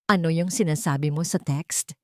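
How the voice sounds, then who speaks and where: A woman's voice: sits low, fairly even intonation, moderate pace, no echo, studio quality, lightly acted. A woman voicing a tense, wary wife in an audio drama, asking blunt questions. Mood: puzzled, tense. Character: tense, wary betrayed wife demanding answers.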